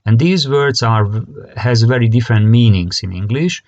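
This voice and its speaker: A man with a Hungarian accent giving an academic conference lecture in halting, hesitant phrasing that often repeats words. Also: mid-range voice, expressive, a little mellow, no room sound, muffled recording. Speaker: conference presenter, career guidance expert.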